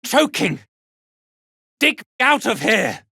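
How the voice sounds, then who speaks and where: A man's voice: high voice, sing-song, takes it slowly, creaky, pushed and bright, husky, no room sound, clean studio sound, full character voice. A man playing a haughty, cruel drow cult commander in a fantasy video game, speaking in an imperious, menacing, theatrical way. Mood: desperate. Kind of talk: dramatic fantasy game voice acting.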